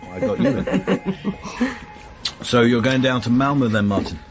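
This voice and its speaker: A man's voice: mid-range voice, big pitch swings, unhurried. Hearty male policeman, music behind. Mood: teasing. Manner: informal, blunt, hearty. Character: jovial, grumbling fat policeman, the detective's friend. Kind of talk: radio drama acting.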